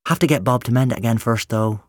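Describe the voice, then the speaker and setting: A man's voice: mid-range voice, quick pace, a little mellow, dry recording, studio quality, close to an everyday voice. A man voicing an eager, chatty young boy who is earnest about his toys, in an audiobook story. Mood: glum. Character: eager, bright young boy, a robot-toy enthusiast.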